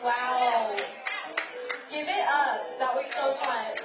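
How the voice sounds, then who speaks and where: Loud, enthusiastic female host introducing acts; far-off stage mic with music playing. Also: high voice, slow, highs cut off. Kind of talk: live emcee introduction. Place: improv theater stage. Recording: roomy live stage audio from a distance.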